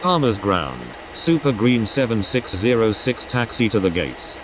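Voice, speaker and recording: A formal airline pilot played by a man speaks in scripted radio phraseology for a flight game. His voice sits fairly low, with clear engine-like noise over it. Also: big pitch swings, a little slow. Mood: procedural, neutral. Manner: formal, procedural. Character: male airline pilot making clipped radio calls. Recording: noisy radio with engine-like drone.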